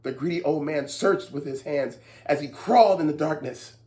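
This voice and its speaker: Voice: medium pitch, normal speed, dark tone. A children's storytime host who narrates expressively and talks instructively to young listeners, often checking in with them.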